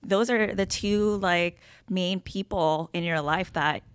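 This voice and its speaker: A woman's voice: on the low side, slow pace, clear, resonant tone. A young woman co-hosting a podcast, talking through ideas earnestly and often checking in with "right?". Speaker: podcast co-host. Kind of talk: casual chat.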